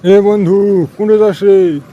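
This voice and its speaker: A man's voice: high voice, fairly even intonation, takes it slowly, dark tone, highs cut off. A man voicing a cocky, boastful young village friend in a comedy cartoon, teasing in a northern Bangladeshi accent. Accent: northern Bangladeshi regional. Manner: bragging, teasing, colloquial. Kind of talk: comic cartoon dialogue.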